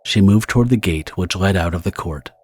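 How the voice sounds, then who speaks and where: A man's voice: low-pitched, fairly quick, husky, no echo. A man narrating a pulp horror audio drama from a script. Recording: guitar music behind the narration. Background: plucked guitar music.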